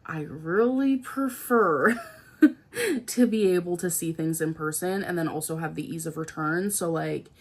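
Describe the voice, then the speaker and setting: A woman's voice: on the low side, a little slow. A young woman from Canada, a beauty vlogger, chatting casually about new products, a little nasal and congested from a cold, rambling with lots of fillers. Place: indoors at home, seated in a chair.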